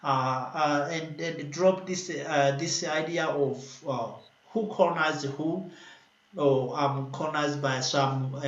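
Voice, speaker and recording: A man's voice: on the high side, a bit bright, roomy sound, highs cut off. A man hosting an online panel discussion from home at night, talking with many fillers and restarts. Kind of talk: closing remarks in an online panel. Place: home in Addis Ababa, at night.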